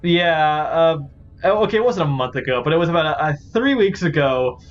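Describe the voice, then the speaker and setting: A man's voice: fairly high pitch, lively intonation, fairly quick. A man giving casual, rambling gameplay commentary as host of a gaming series. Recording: dull lo-fi mic, faint music behind.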